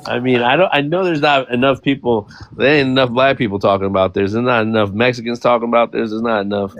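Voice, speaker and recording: A man's voice: mid-range voice, fairly even intonation, fast talker, fairly bright tone, muffled recording. A man in his thirties co-hosting a casual talk show, speaking frankly and loosely, with lots of profanity and "you feel me". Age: 30s. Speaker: podcast co-host. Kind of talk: casual chat.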